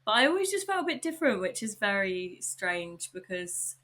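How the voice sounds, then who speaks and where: A young woman with an English accent hosting her own podcast, chatting warmly and informally, a little husky from a sore throat. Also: mid-range voice, very animated, moderate pace. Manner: upbeat, chatty, lots of likes and you knows. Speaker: podcast host. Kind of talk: casual solo podcast chat.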